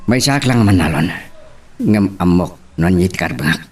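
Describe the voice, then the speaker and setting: A man's voice: sits low, lively intonation, measured pace, darkish tone, breathy edge, recorded from a distance, full character voice. A man playing a stern, reproachful rival in love in an Ilocano drama. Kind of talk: Ilocano radio-style love drama acting.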